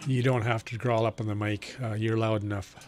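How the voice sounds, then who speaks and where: A man with a fairly low voice talks casually as a ham radio operator. He explains things plainly and without a script. A clear noise and hum sit behind his voice. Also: little pitch movement, fairly quick. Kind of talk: unscripted ham radio conversation, technical advice.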